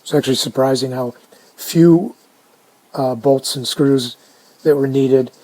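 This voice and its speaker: A man casually narrating a hands-on restoration video, unhurried and halting as he thinks aloud. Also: mid-range voice, a little mellow, poor audio. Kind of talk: casual narrated how-to commentary.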